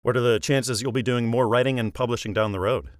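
A man's voice: medium pitch, fairly even intonation, quick pace, no echo. A man hosting an author podcast, with a polished radio-style delivery. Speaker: podcast host. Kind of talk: interview host, scripted intro.

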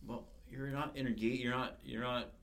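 A man's voice: mid-range voice, steady tone, moderate pace, vocal fry, fairly bright tone. A man in his forties hosting a podcast in casual conversation, emphatic and swearing casually. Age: 40s. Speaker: podcast host, fitness coach.

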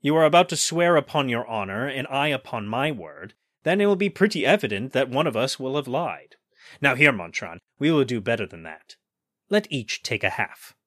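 A man's voice: mid-range voice, sing-song, normal speed, a bit bright, dry recording, clean studio sound. A man voicing a mild-mannered but cold, haughty musketeer with formal, cutting politeness, in a dramatized storybook reading. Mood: wry, challenging.

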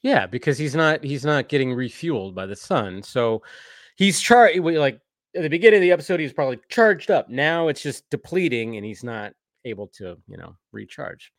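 A man talks through an episode recap in a loose, casual way. His voice sits at an average pitch, recorded close and dry with no room sound. He rambles, leaning on "like" and "you know" as he goes. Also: lively intonation, moderate pace, dry recording. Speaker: co-host of a cartoon episode review stream.

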